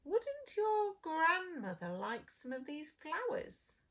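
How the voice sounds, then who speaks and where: A woman's voice: very high voice, wildly swooping pitch, takes it slowly, voice pushed up, heavily acted. A woman voicing a sly, hungry big bad wolf in a children's storybook, growly and falsely sweet. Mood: falsely friendly. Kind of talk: children's storybook read-aloud.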